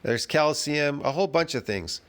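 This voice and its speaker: A man's voice: fairly high pitch, animated, normal speed, a bit bright, clear, resonant tone. A man giving a ranting fitness commentary, emphatic and repetitive, full of restarts and rhetorical questions.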